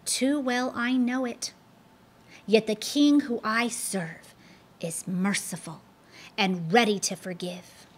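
A woman's voice: a little high, takes it slowly, pauses often. A woman reading aloud, voicing a humble but defiant young male pilgrim who speaks earnestly and firmly. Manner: earnest, firm, pious. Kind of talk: storybook read-aloud.

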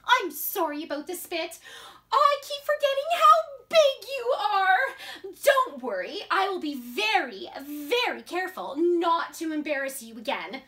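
A woman's voice: very high voice, sing-song, a little slow, bright, forceful tone, full character voice. A woman playing a doting, fussing mother in a children's story, effusive and sing-song. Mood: apologetic. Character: gushing, doting, apologetic mother of a young boy.

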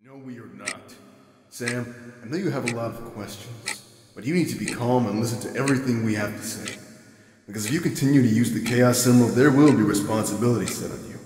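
A man playing a grave, stern mentor in an audio drama, speaking in a solemn, measured and insistent way. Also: mid-range voice, noisy background, lightly acted. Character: grave, stern male mentor of a chosen hero. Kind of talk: scripted audio drama acting.

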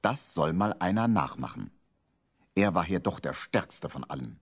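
A man's voice: sits low, very animated, normal speed, husky, soft background music, exaggerated voice. A man narrating a children's radio play from the 1970s in a storytelling manner.

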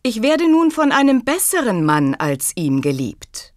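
A woman's voice: on the high side, very animated, a little slow, dry recording, studio quality. An actress playing a clever, self-possessed young woman in a German audio drama, poised, formal and faintly teasing. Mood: resolute, gracious.

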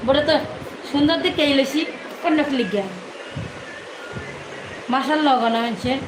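High-pitched woman in an echoey, noisy room. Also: normal speed. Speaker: live stream co-host. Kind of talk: casual live chat with viewers.

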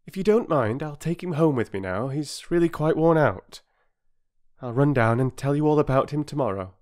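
A man reading aloud and voicing a kind mother who speaks brightly and reassuringly. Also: on the high side, lively intonation, moderate pace, pitched above the performer's own voice, lightly acted.

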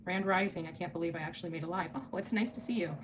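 A middle-aged American woman hosts a casual livestream from home. Her voice sits a little low and she talks quickly, pressing her points with indignant emphasis and repeating herself. Clear background noise runs under the phone recording. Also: low-quality recording. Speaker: live stream host. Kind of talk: casual livestream commentary, chatting with viewers. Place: small living room, indoors.

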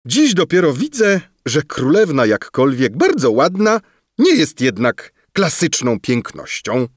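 A man's voice: a little high, huge pitch swings, unhurried, dry recording, clean studio sound, voice pushed up, full character voice. A man reading a storybook and voicing a vain young nobleman who gossips cattily. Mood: catty. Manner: whispering, catty.

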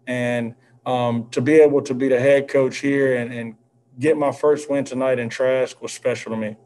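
A basketball head coach in his thirties speaks in a mellow, warm voice, earnest and measured. He leans on fillers as he works through his answers over a video call. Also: mid-range voice, fairly even intonation, slight background noise, low-quality recording. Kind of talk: postgame press conference answers. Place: indoor press backdrop room. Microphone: video call, seated at desk with mask.

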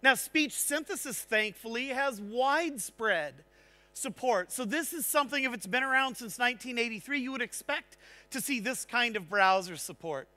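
A man speaking on a large conference stage, giving an energetic technical talk and checking in with the audience. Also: very high-pitched, normal speed. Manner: energetic, engaging, asks the audience "right?".

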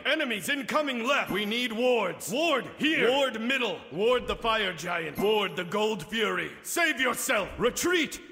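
An actor playing a vengeful winged god of death in a video game, speaking in grave, menacing, theatrical pronouncements. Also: male voice, very high voice, sharp tone, long reverb tail, full character voice. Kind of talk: video game character voice lines.

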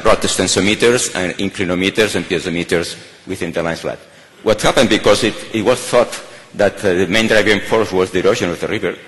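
A man in his sixties with a low, deep voice delivers a keynote lecture in careful English, pausing often on fillers and restarting phrases. He speaks into a podium microphone, and his voice carries a long reverb from the large conference hall. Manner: halting, many fillers and restarts.

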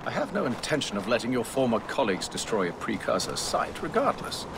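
A man's voice: medium pitch, big pitch swings, brisk, background noise. A middle-aged man voicing a cold, formal grand master who speaks in a dry, commanding way in video game cutscenes. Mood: resolute. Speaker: game character. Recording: game audio with music score.

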